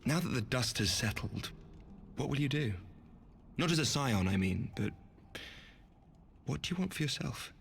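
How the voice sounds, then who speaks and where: An actor playing a courteous noble lord commander in a video game, speaking formally and earnestly in measured phrases. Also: male voice, mid-range voice, expressive, creaky, a little hiss or hum. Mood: earnest, gentle. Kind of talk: video game cutscene acting.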